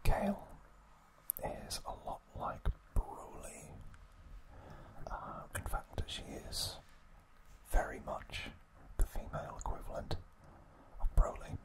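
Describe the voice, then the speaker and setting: A man whispering an ASMR trivia recap in a breathy, unvoiced, hushed voice with gentle, halting pauses. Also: low-quality recording. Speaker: ASMR host.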